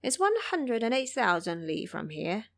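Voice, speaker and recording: A woman's voice: medium pitch, very animated, normal speed, vocal fry. A woman voicing an inquisitive, knowing elderly woman met on the road in an audio drama. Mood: curious.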